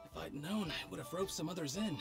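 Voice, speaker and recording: A man voicing a busy, boastful street-food cook in a video game, relaxed and self-assured. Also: fairly high pitch, brisk, creaky, over loud music. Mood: confident.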